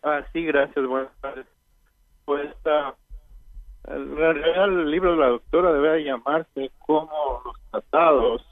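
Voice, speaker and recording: A man's voice: a little high, steady tone, slow, no echo. A man calling in to a radio show, arguing his point in an earnest way. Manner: earnest, argumentative. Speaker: radio caller. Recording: tinny phone line.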